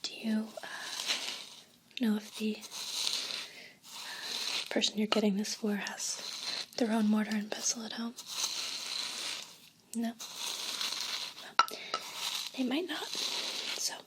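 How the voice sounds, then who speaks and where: A woman gently whispering an ASMR role play as an attentive, unhurried shop clerk, close to a binaural mic. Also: fairly high pitch, fairly even intonation, heavy background noise. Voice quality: breathy whisper. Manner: gentle, unhurried, attentive customer-service patter. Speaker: ASMR performer playing a herb shop clerk. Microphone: close binaural microphone. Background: crinkling and rustling paper sounds.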